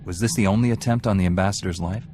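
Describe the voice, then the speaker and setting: An actor playing a stern, dry-witted Jedi warrior hero in a video game, speaking curtly. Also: male voice, low-pitched, very fast, noisy background, natural voice. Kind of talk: video game cutscene acting.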